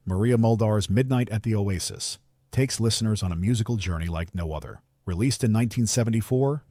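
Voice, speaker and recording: Man, low voice, scripted countdown narrator, upbeat promotional phrasing, close dry recording. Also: darkish tone, no room sound.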